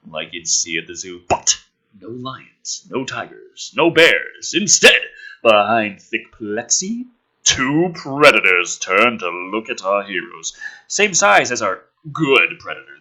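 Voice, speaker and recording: A man's voice: medium pitch, pushed and bright, breathy edge, low-quality recording. A man reading a film script aloud theatrically and riffing on it with sarcastic, sweary commentary.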